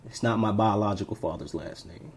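Brief: man from Tampa, low mellow husky voice, reflective podcast co-host telling his story. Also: a little fast, a little hiss or hum. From Tampa, Florida, US. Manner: reflective, trails off quietly. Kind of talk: casual interview storytelling.

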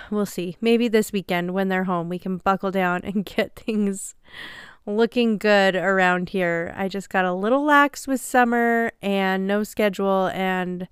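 A woman's voice: mid-range voice, unhurried, clean tone, no room sound, clean studio sound. A woman hosting a podcast in a casual, upbeat and self-deprecating chat, a little nasal from a passing cold. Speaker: podcast host.

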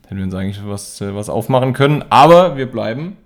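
A man's voice: mid-range voice, lively intonation, fast talker. A man in his thirties from Rhineland-Palatinate with a Palatinate accent, co-hosting a casual podcast and stumbling through fillers and restarts. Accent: Palatinate German. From Rhineland-Palatinate, Germany. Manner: hesitant, many fillers and restarts. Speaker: podcast co-host. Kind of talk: casual chat.

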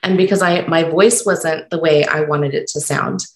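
A woman hosting a solo podcast, talking unscripted and encouraging while stumbling over her words, her voice croaky from being sick. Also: deep voice, steady tone, moderate pace, reverberant. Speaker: podcast host.

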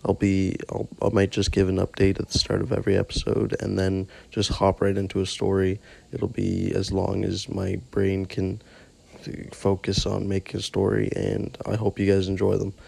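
Man, gravelly just-woke-up voice, very low, husky, rambling self-mocking podcast talk. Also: completely flat delivery, normal speed, a little mellow. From Canada. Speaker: podcast host. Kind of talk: casual rambling monologue.

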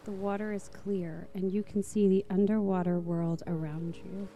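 A woman narrating a guided meditation in a soft, slow, soothing manner. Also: mid-range voice, fairly even intonation, muffled recording.

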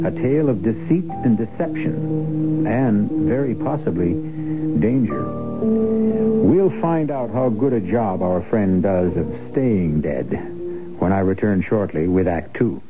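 A man hosting and narrating a 1970s radio mystery in a theatrical, wry, measured manner. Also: on the low side. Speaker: host and narrator. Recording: tinny old radio broadcast, music underneath. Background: music.

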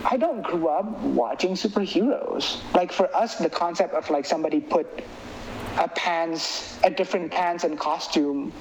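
A man from Indonesia with an Indonesian accent, chatting casually as an interviewed filmmaker in an animated, rambling way full of filler phrases. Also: on the high side, normal speed, slight background noise, low-quality recording. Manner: animated, rambling, peppers talk with "like" and "you know". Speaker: filmmaker and writer being interviewed.

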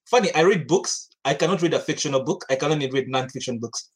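A man's voice: fairly high pitch, fast talker, husky. A young man from Uganda, a studio co-founder, chatting casually on a podcast with many restarts and easy laughs.

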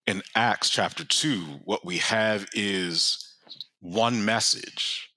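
Very low voiced guy explaining stuff, super bright tone, dry sound, laughs a lot. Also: slow, no room sound. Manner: earnest explaining, laughs often. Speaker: guest scholar. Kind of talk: conversational teaching.

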